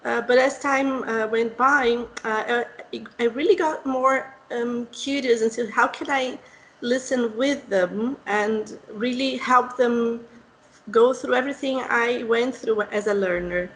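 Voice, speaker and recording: A woman with a Brazilian Portuguese accent interviewing a guest and speaking in a relaxed, reflective way. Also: a little high, unhurried, poor audio. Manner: conversational, reflective, with fillers. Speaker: interviewer, English teacher. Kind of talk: podcast interview.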